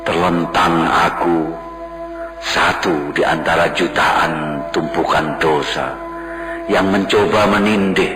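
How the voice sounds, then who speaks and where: A man giving a solemn, contemplative poetry recital in a slow, devotional manner. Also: low voice, fairly even intonation. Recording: roomy echo with music clearly underneath.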